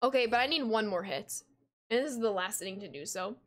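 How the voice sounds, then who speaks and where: A woman in her twenties streaming a video game from home, chatting casually with viewers, reading chat aloud and gasping and laughing in bursts of excitement. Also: medium pitch, a little fast. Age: 20s. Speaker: gaming streamer. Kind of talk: casual live-stream chat while playing. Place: home room at a desk.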